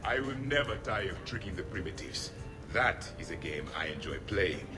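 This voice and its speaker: An ancient alien warrior in a video game. His voice is low, his phrasing formal and full of proud disdain. Music plays clearly behind him. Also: very animated, moderate pace. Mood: proud, dry. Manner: formal, haughty.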